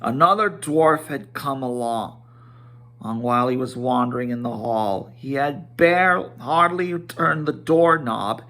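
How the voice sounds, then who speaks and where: Male amateur storybook reader, unhurried, mid-pitched, theatrical but prone to small stumbles. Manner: expressive, stumbling over words with asides. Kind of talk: dramatic storybook narration with character voices.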